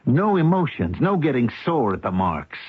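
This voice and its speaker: An actor playing a short-tempered, bossy, scornful con artist with curt street-tough talk in an old-time radio mystery. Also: male voice, medium pitch, big pitch swings, moderate pace, breathy edge. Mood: angry, scolding. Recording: muffled, echoey vintage radio recording.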